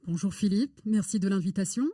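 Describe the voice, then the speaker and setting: A woman speaking as an interview guest, telling her story in an articulate, deliberate, conversational way. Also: medium pitch, dark tone, no room sound, clean studio sound. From born in Morocco. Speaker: interview guest, public affairs and rhetoric consultant.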